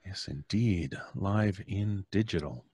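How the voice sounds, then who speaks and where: A man's voice: sits low, animated, takes it slowly, warm tone, husky, no room sound, clean studio sound. A man hosting a live online show, talking informally to his audience. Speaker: host and performer.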